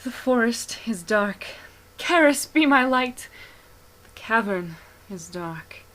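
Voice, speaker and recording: A woman's voice: a little high, animated, takes it slowly, pushed and bright, exaggerated voice. A woman playing a fervent fantasy herald, chanting prayer and praise in a reverent, declamatory way. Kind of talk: incantatory proclamation.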